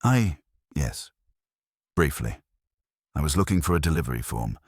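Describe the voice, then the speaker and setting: Guy doing a jittery evasive office lady, still deep, halting, swoopy pitch, audiobook mystery. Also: sing-song, slightly rough, dry recording, studio quality, heavily acted. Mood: nervous, defensive. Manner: hesitant, halting. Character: nervous, evasive female office worker.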